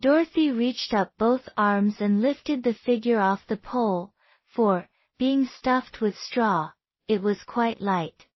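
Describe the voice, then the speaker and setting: A woman's voice: on the high side, slow, no room sound, lo-fi sound. A woman narrating a scripted children's bedtime story as a read-aloud.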